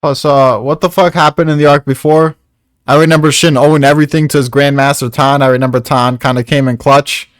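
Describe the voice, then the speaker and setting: A man's voice: fairly high pitch, little pitch movement, brisk, dry recording, clean studio sound. A man co-commentating in a casual manga discussion, with a blunt, sardonic and profane manner.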